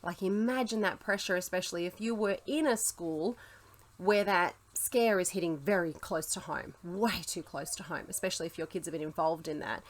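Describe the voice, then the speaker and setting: A woman's voice: fairly low pitch, normal speed. A woman talking to camera in a casual, reassuring advice vlog for teachers, chatty with the odd laugh. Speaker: teacher vlogger.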